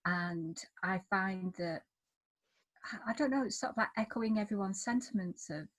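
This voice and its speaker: A woman with a mid-pitched voice talks as a writer on a panel. She is informal and reflective, feeling her way through her thoughts in a tentative manner. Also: low-quality recording.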